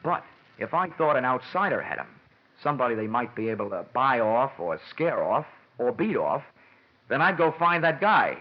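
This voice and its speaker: An actor playing a cocky, wisecracking insurance investigator in an old radio detective drama, with a sardonic, slangy delivery. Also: male voice, medium pitch, sing-song, normal speed. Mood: urgent, wry. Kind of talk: 1940s-50s radio detective drama acting. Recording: dull vintage radio recording, music behind.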